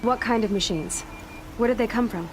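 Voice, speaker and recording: A woman's voice: a little high, normal speed, background noise, close to an everyday voice. A woman acting a practical, direct young huntress who asks questions in a video game. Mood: concerned, probing. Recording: clear music under the voice.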